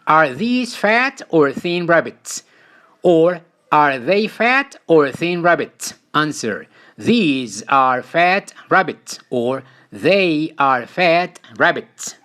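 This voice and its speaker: A man reading a scripted beginner language lesson as a teacher, deliberately delivering short example sentences phrase by phrase. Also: a little high, sing-song, a bit bright. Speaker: English teacher.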